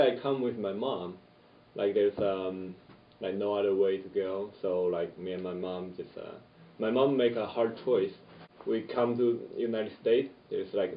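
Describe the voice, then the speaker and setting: A man's voice: lowish voice, a little hiss or hum. A teenage man from China with a Chinese accent, speaking hesitantly and searching for words. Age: teens. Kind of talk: casual interview answer. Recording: distant, dull, echoey room.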